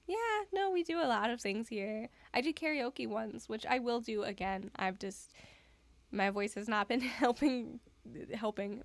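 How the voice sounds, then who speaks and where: Slow, giggly woman streamer. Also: on the high side, no echo. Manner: giggly, rambling, soft-spoken. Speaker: live streamer. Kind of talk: casual stream chat with viewers.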